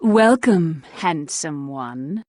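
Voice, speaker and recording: An actress playing a seductive, teasing thief in a fantasy video game, drawling flirtatiously. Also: female voice, sits low, expressive, extremely slow, a little mellow, no room sound, heavily acted.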